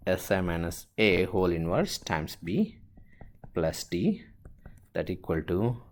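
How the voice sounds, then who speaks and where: A man teaching a step-by-step worked example as an instructor, halting and thinking aloud as he writes. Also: low voice. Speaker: engineering instructor.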